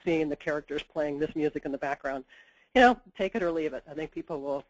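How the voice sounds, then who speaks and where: A woman's voice: deep voice, quick pace, no room sound, low-quality recording. Woman with a stuffy cold, dry close sound, explaining editing tips, chatty webinar guest. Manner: practical explanatory tone, frequent "you know". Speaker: book editor. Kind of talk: webinar interview answers.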